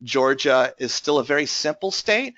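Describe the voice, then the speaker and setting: A man's voice: medium pitch, lively intonation, moderate pace, fairly bright tone, dry recording, lo-fi sound. Man presenting with a strained voice. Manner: explanatory, many filler uh's. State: voice strained from bronchitis. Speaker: tax lien investment presenter. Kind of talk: webinar presentation.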